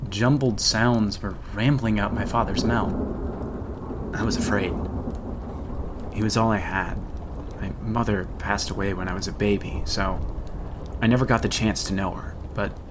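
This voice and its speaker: Male actor as haunted storyteller, low gravelly voice, solemn, regretful, horror radio drama, storm noise behind. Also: steady tone, lightly acted. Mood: grim, tense. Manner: deliberate, solemn, ominous storytelling. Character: haunted grown man recounting a teenage horror, grave, regretful.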